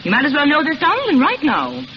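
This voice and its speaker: An actress playing a haughty, accusing young society woman in 1930s radio drama, sharp and disdainful, on an old recording. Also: high voice, huge pitch swings, quick pace, dull audio, slight background noise. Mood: scornful accusing.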